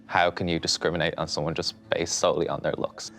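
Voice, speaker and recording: A man with a very low, gravelly voice, speaking hesitantly with many fillers in a reality show interview. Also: flat delivery, fast, a bit bright, soft background music. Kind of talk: confessional interview.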